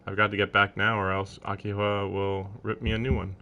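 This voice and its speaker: A man's voice: lowish voice, little pitch movement, brisk, pushed and bright, dull audio. A man live streaming a visual novel, reading its text aloud and breaking off for casual, wry, incredulous commentary. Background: game music with strings.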